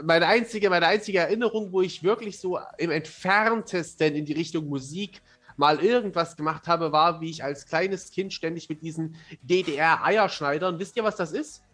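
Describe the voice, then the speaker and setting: A man's voice: a little high, a bit bright, husky. A man from eastern Germany talking casually as an author being interviewed on a podcast, thoughtful and self-deprecating.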